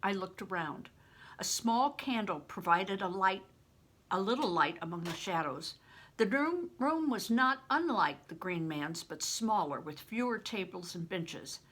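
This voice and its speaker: An older woman with a breathy voice, reading a novel aloud and giving the characters voices of their own. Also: medium pitch, expressive, unhurried, a bit bright. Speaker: reader.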